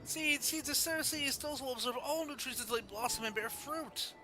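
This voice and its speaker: A man's voice: very high-pitched, moderate pace, noisy background, voice pushed up. A man voicing a zealous, pleading disciple in a theatrical, exclamatory manner for a fantasy game story. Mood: reverent, proclaiming. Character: zealous, pleading disciple crying out to an ancient wisdom tree.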